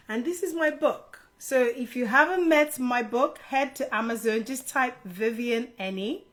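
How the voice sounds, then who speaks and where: A woman's voice: fairly high pitch, a bit bright. A middle-aged woman from Nigeria hosting an informal live Q&A, chatting directly to her viewers as a career adviser. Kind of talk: casual live stream Q&A chat to viewers.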